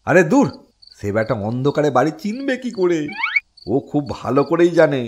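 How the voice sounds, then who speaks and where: Man playing an animated, blustering cartoon farmer. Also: fairly high pitch, moderate pace, slight background noise. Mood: dismissive, confident. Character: gruff, bold village farmer husband. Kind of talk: Bengali fairy-tale cartoon voice acting.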